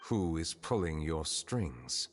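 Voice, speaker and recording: A man playing a formal, measured, authoritative judge in a game, with music behind him. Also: extremely low pitch, big pitch swings, full character voice. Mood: stern. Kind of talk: game character dialogue.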